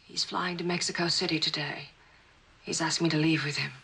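An actress playing an unhappy, torn wife in a mid-century suspense drama, with a husky voice. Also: female voice, low voice, fairly even intonation, fairly quick, sharp tone, exaggerated voice. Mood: urgent.

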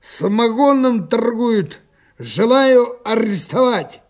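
A man voicing a drunk, hot-headed, aggrieved working-class husband in a story, slow and folksy. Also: very high voice, lively intonation, pitched above the performer's own voice, full character voice.

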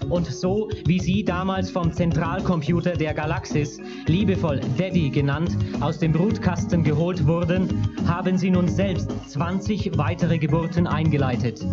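Male radio play narrator, slightly high voice, loud music under him, dull tape sound. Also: steady tone, normal speed.

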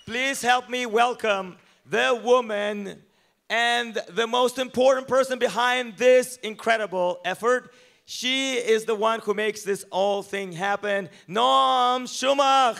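A man's voice: extremely high pitch, fairly even intonation, takes it slowly, creaky, fairly bright tone. A man emceeing a gala, upbeat and joking as he hypes up the crowd and introduces people on a banquet hall stage. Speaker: master of ceremonies. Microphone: handheld microphone.

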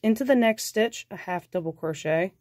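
Woman, stuffy and nasal from a cold, patient crochet teacher, mid pitch, dry close sound. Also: normal speed, dry recording. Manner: calm, patient, counts stitches aloud. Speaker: crochet instructor. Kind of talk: step-by-step craft tutorial.

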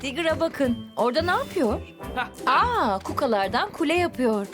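A woman's voice: high voice, sing-song, normal speed, music in the background. A woman narrating a children's cartoon, talking to the characters in an encouraging way. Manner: encouraging, talks directly to the characters.

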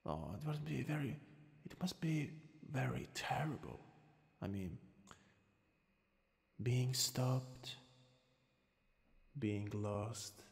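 A man with an Italian accent playing a courteous, sly vampire lord in a hushed, halting ASMR roleplay. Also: medium pitch, vocal fry, heavily acted. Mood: concerned, curious. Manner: halting, hushed, slipping into whispers and soft chuckles. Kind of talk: ASMR roleplay, improvised acting. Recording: reverberant hall sound with music behind. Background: music.

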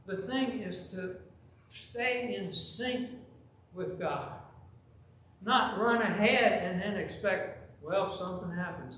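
Middle-aged guy preaching at a pulpit, gets loud and emphatic, big echoey church, faint room hiss. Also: high-pitched. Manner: animated, gesturing, rising to loud emphasis. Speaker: pastor. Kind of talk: sermon with storytelling. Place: large church sanctuary, at the pulpit. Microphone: pulpit microphone, filmed from far camera.

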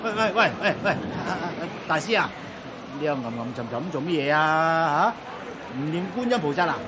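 An actor playing a nosy, panicky boat passenger who stammers and repeats himself in a Cantonese radio drama with a Hong Kong accent. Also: male voice, on the high side, lively intonation, a little fast, natural voice. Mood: excited. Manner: stammering, repetitive exclamations. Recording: noisy radio drama with crowd ambience.

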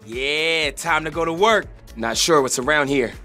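A man's voice: a little high, measured pace, sharp tone. An American man playing a cocky, boastful young superhero soldier in video game voice lines, with slangy, swaggering, punchy delivery. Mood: alert, brash. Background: music.

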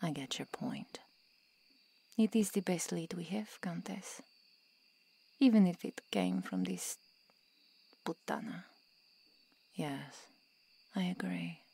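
Woman acting a low, husky, solemn vampire. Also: very animated, dark tone, heavily acted. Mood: stern. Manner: measured, formal, solemn diction. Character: stern, formal, world-weary female vampire. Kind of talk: ASMR roleplay audio drama.

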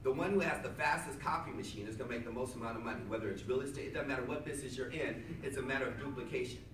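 A man giving a motivational seminar talk to a room of people, loud and emphatic, repeating his key lines back to the crowd. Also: mid-range voice, very fast. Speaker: seminar speaker. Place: hotel conference room with seated audience. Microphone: far camera at back of room, no microphone. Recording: echoey room from far off with audience noise.

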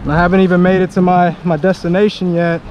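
A man on a kayak talking to himself in a low-key running commentary while he fishes, recorded on a mounted action camera out on open water. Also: flat delivery, fast, lo-fi sound. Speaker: fishing vlogger. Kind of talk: casual self-narration while fishing. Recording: loud wind noise on outdoor camera mic. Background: wind and water.

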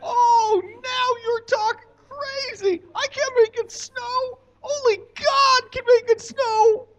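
A man voicing a naive, excitable, childlike boy puppet in a squeaky voice at a live comedy show. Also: takes it slowly, heavily acted, poor audio. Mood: incredulous. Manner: squeaky, exclamatory, gullible. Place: small indoor stage.

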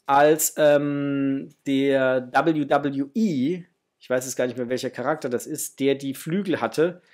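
A middle-aged man with a slightly husky voice, chatting casually and enthusiastically to viewers as the host of an unboxing video. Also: mid-range voice, darkish tone. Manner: enthusiastic, effusive. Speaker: host of a toy collecting channel. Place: small room at home with framed posters, indoors.

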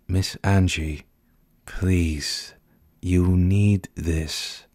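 A man voicing a sinister, scholarly villain who speaks formally and deliberately with polite condescension in a comic dub. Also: sits low, fairly even intonation, a little mellow. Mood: weary, polite. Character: sinister, coolly polite masked infectious villain, scholarly and menacing. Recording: background music under the voice.

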